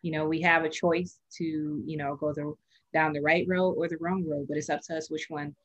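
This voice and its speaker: A woman's voice: low-pitched, flat delivery, brisk, a bit bright, highs cut off. A woman hosting an interview show, upbeat and encouraging toward her guest. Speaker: podcast host.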